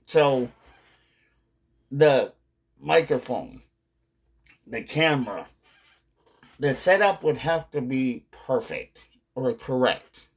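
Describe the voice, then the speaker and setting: Slow-talking man rambling casually. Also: medium pitch, animated, husky. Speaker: video host, hobby commentator. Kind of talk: casual rambling commentary to viewers.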